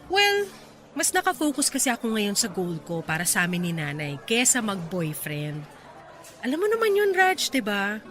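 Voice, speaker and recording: An actress playing Mylene, a hardworking young woman devoted to her mother, in a radio drama with soft music underneath. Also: medium pitch, wildly swooping pitch, moderate pace.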